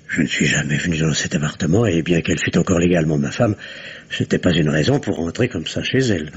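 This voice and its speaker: An actor playing a doctor questioned by the police, who speaks in a hesitant, guarded way, in the manner of a 1950s radio drama. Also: male voice, low-pitched, husky, exaggerated voice. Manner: hesitant, guarded, then confessional. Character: nervous doctor questioned as a suspect, man. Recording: dull old radio recording.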